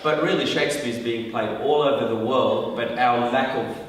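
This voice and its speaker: A man's voice: medium pitch, normal speed. A man from Iran, a workshop participant, talking thoughtfully in a group discussion with many restarts. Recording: echoey hall from far off.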